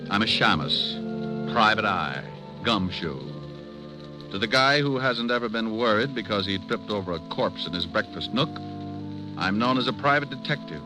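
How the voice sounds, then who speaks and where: An actor playing a wisecracking, easygoing hard-boiled private detective in a 1950s radio drama, wry and slangy. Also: male voice, on the low side, expressive, normal speed, music in the background, natural voice, poor audio.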